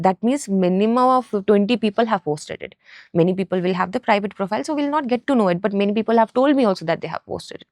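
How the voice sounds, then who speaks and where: A woman's voice: medium pitch, a little mellow, dry recording. Woman explaining casually, dry close sound. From Nepal. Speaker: food truck business co-founder, podcast guest. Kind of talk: casual explanatory interview talk.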